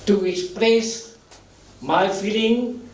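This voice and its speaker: Slow, moved man. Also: steady tone, roomy sound, far from the mic, slight background noise, rough recording. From Nepal. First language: Nepal Bhasha. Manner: slow halting delivery in short phrases, deeply moved. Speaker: author giving thanks at a book launch. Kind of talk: emotional formal speech. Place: event hall.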